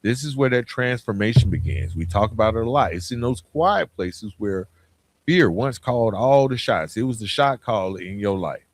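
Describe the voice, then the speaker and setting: A man's voice: lowish voice, expressive, moderate pace, no room sound. A man hosting a motivational podcast, speaking earnestly and emphatically in colloquial language straight to the listener. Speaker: podcast host.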